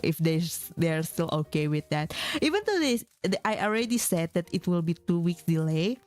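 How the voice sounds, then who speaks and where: A woman's voice: on the low side, very animated, moderate pace, darkish tone, faint music behind. A young woman streamer who is not a native English speaker, chatting casually with her viewers in a rambling way and laughing often. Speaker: vtuber streamer.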